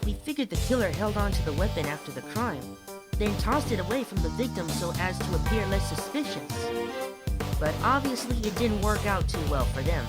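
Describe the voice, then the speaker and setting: A man voicing an excitable, friendly cheetah police officer testifying in a fan audio drama, chatty and earnest. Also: high voice, moderate pace. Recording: loud music under the voice. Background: loud music.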